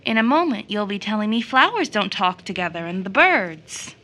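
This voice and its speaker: A bright, pleasant little old lady. She is kindly but brisk and slightly sharp, like a magical visitor in a storybook. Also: mid-range voice, very animated.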